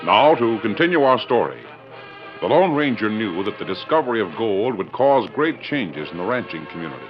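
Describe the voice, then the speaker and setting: Animated low-voiced radio narrator, a man. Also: normal speed, over music. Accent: American. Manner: formal, polished storytelling delivery. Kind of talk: scripted dramatic narration, 1940s radio. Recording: muffled vintage radio recording.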